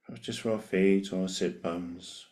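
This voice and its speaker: A man leading a guided meditation, giving gentle, unhurried invitations with long pauses between them. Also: low-pitched, steady tone.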